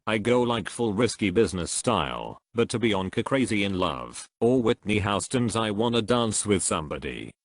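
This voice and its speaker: A man reading online comments aloud in an even, steady way. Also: fairly low pitch, moderate pace, hardly any pauses, a little hiss or hum.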